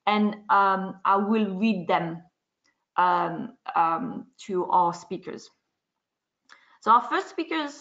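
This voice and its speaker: A woman moderating an online webinar, talking quickly with frequent fillers as she introduces the program and speakers. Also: medium pitch, a bit bright. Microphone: video call. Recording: dull lo-fi call audio.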